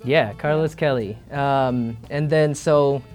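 A young man who leads a band answers interview questions, searching for his words with plenty of fillers. His voice sits at a middle pitch, and faint music plays under him. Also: slow, a little mellow. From born in Singapore, raised in Minnesota. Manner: hesitant, many fillers and restarts. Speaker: band leader, guest. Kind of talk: casual interview.

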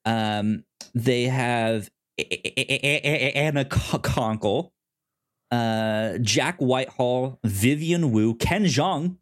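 A podcast co-host chatting casually about TV in a halting way, often repeating words mid-sentence. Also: mid-range voice.

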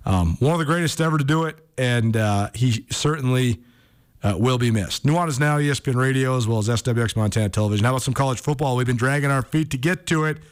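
A man's voice: mid-range voice, quick pace, smooth. An American man hosting a sports talk radio show, commenting casually and thinking aloud as he goes.

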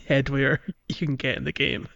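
A man's voice: medium pitch, level tone, normal speed, creaky, a bit bright, lo-fi sound. A man hosting a casual gaming podcast, explaining things in a hesitant, trailing way. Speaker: podcast host.

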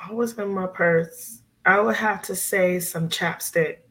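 Bright-voiced woman, chatty and relaxed. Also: fairly low pitch, a little slow, poor audio. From Miami, USA. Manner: chatty, relaxed, lots of fillers like "you know" and "like". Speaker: guest, psychiatric nurse practitioner. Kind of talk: casual interview chat.

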